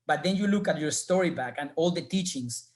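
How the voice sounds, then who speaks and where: A man's voice: on the high side, lively intonation, fairly quick. A man from Colombia with a Colombian Spanish accent, speaking earnestly as an alumnus on a webinar panel.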